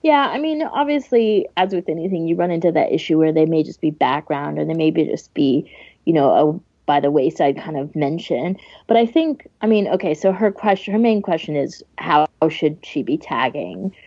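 A woman with a notably low voice chats as a podcast co-host. Her delivery is conversational and emphatic. The sound is dry and a little dull. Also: expressive, moderate pace, dark tone, slightly rough, no room sound. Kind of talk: casual chat.

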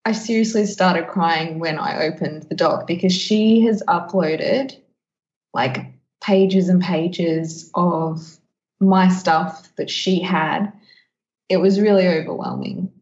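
A woman's voice: fairly low pitch, lively intonation, takes it slowly, darkish tone. A woman talking frankly and emphatically as a podcast host in a casual chat, slightly muffled.

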